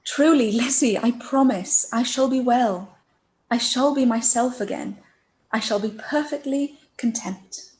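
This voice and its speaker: A woman playing a gentle, soft-spoken young woman. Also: fairly high pitch, measured pace, rough recording. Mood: restrained. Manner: soft and restrained. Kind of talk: live-read radio play.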